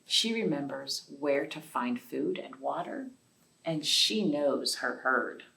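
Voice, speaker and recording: A woman's voice: rumbling low, expressive, slow, gravelly, bright, forceful tone. A woman hosting a children's storytime, reading aloud and chatting simply to young listeners, with questions and asides. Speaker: library storytime host. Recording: distant, off-mic voice.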